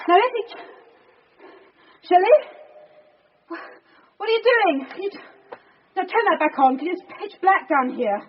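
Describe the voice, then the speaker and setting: An actress playing a brisk, claustrophobic police detective in a naturalistic radio drama, with halting delivery under strain. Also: female voice, extremely high pitch, lively intonation. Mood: tense. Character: female police detective superintendent, brisk, claustrophobic, turning panicky. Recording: noisy, dull radio drama recording.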